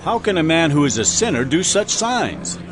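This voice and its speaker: A man acting a forceful Pharisee challenger in a dramatized audio Bible, with declamatory delivery. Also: a little high, sing-song, moderate pace, crowd noise. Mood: challenging.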